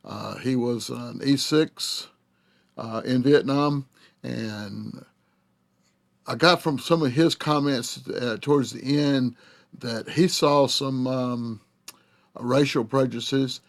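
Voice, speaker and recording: An older man from the South, hosting and reflecting in a halting way with many pauses. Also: medium pitch, vocal fry. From Southern United States. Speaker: host. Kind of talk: reflective narration.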